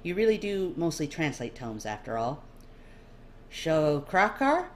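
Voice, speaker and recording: A low-voiced woman reads fiction aloud in an expressive storytelling style. The recording has faint noise behind her. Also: moderate pace. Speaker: amateur audiobook reader. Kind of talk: expressive scripted second-person story narration.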